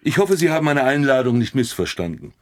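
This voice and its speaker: Actor as a formal, mid-pitched police commissioner. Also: male voice, sing-song, brisk, natural voice. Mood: courteous, formal. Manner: polite, formal. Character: male police commissioner, courteous, official. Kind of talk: German radio play acting.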